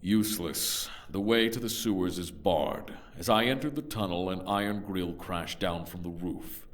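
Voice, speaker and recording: An actor playing a huge, blunt, fierce barbarian warrior in a fantasy audio drama, speaking tersely and matter-of-factly. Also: male voice, deep voice, moderate pace, far from the mic, close to an everyday voice. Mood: grim.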